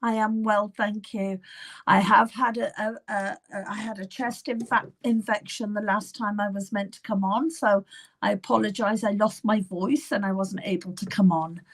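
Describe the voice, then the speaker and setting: A middle-aged woman hosting a live psychic reading session, chatting gently with viewers by name, her voice still a little croaky and at times falling to a whisper. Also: mid-range voice, fairly even intonation, normal speed. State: slightly croaky voice. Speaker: psychic medium.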